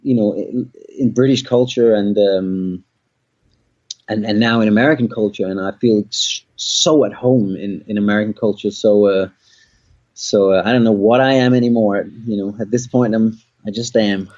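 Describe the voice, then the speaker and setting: A man's voice: on the low side, steady tone, normal speed, darkish tone. Man, from Denmark, rambling interview guest, lots of ums, lo-fi sound. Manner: rambling, many fillers and restarts. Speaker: guest musician. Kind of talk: casual interview chat. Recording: dull lo-fi audio.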